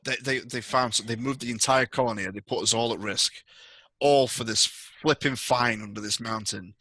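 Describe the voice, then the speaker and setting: A man's voice: mid-range voice, steady tone, moderate pace, pushed and bright, dry recording. A man playing a burly, bitter colony survivor who talks bluntly in a tabletop game. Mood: bitter, exasperated.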